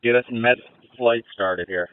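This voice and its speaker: A man's voice: medium pitch, measured pace, smooth, no room sound. A male police officer giving terse, urgent field reports over a handheld radio. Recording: tinny police radio, cutting out.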